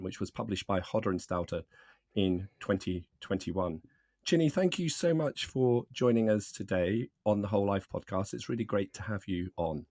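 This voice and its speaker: A man co-hosting a podcast with a slight croak from a cold, joking wryly and formally introducing a guest. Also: lowish voice, warm tone, dry recording, clean studio sound. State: hoarse from a cold. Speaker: podcast co-host.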